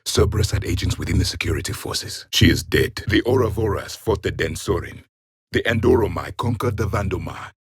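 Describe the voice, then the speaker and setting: Casting: stern alien warrior, game character, disdainful formal delivery, slightly husky, very dry studio sound. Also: male voice, low-pitched, lively intonation, no room sound, full character voice. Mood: cold, disdainful. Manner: haughty, disdainful, formal measured speech. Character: proud, stern alien warrior, last of an ancient race. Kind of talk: video game character voice lines.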